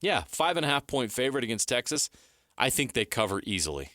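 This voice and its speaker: A man with a bright, forceful voice argues sports as a radio co-host. He is blunt and opinionated in a casual back-and-forth. His mid-range voice is captured dry, with hardly any room sound. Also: medium pitch, very animated, quick pace, no room sound.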